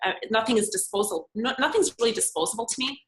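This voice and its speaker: A woman's voice: a little high, fast, a bit bright, echoey room. A woman in her forties whose first language was Serbian, earnestly telling her life story as a podcast guest, with many fillers. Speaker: podcast guest, makeup artist and model. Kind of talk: conversational interview answers.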